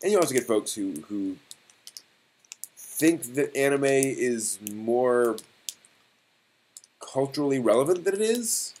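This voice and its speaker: A man talks as the host of a casual live stream, with a middling pitch. He reasons out loud in a halting, conversational way. He often frames his points as rhetorical questions. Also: very animated.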